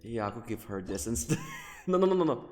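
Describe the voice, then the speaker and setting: A man giving casual live gaming commentary, thinking aloud haltingly and repeating his phrases. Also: medium pitch, huge pitch swings. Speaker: game streamer. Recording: roomy echo, faint game music behind.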